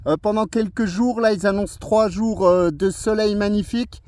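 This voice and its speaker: A man's voice: high-pitched, fairly even intonation, normal speed, darkish tone, no room sound. A man making a casual, upbeat radio contact as an amateur operator, chatty and often repeating little tag words.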